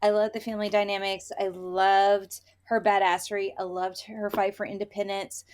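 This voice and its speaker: A woman's voice: mid-range voice, little pitch movement, moderate pace, a little mellow. A woman co-hosting a casual book review podcast, talking in an emphatic, opinionated and conversational way. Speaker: podcast co-host reviewing romance novels.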